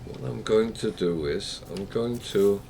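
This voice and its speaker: A man's voice: lowish voice, unhurried, gravelly, mellow, a little hiss or hum. A man from Denmark with a Danish accent, chatting casually and hesitantly while livestreaming a game. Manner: chatty, rambling, hesitant with fillers. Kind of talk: casual gameplay livestream chat.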